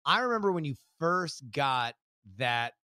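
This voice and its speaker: An American man co-hosting a podcast in casual chat, halting often and circling back over his words. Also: a little high, very animated, sharp tone, no echo, clean studio sound. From United States. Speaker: podcast co-host.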